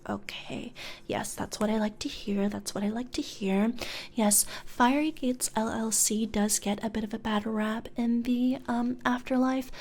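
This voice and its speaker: A woman playing a businesslike, politely sinister female demon recruiter in a soft, breathy ASMR whisper, close to the microphone. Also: fairly high pitch. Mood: approving, coolly sinister. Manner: hesitant, measured corporate politeness, murmured. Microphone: close studio condenser microphone.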